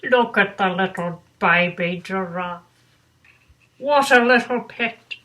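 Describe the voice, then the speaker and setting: A woman's voice: mid-range voice, takes it slowly, sharp tone. An older woman reading a children's bedtime story aloud with doting, grandmotherly asides. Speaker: storybook reader.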